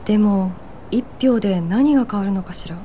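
A woman's voice: medium pitch, normal speed, warm tone, slight background noise, natural voice. An actress playing a doubtful, politely questioning woman at a meeting in a Japanese radio drama. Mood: skeptical.

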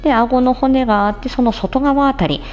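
A woman's voice: high-pitched, normal speed, darkish tone, muffled recording, soft background music. A woman hosting a casual health talk as a speech therapist, explaining things conversationally and speaking straight to her listeners. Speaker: podcast host, speech-language therapist.

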